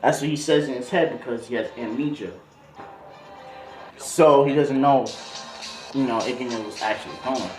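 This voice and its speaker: A man's voice: medium pitch, normal speed, pauses often. A man telling a story casually in slangy, rambling speech, improvising as he goes and drifting into tangents. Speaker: video host. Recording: roomy echo, music behind voice. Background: music beat.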